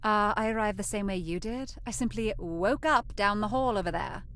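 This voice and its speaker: A woman's voice: mid-range voice, normal speed, fairly bright tone, lightly acted. A woman playing a haughty, wealthy young lady in a visual novel, with an airy, condescending manner. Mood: dismissive, scornful. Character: haughty, wealthy young woman, sly and dismissive mansion heiress. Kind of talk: visual novel character voice acting.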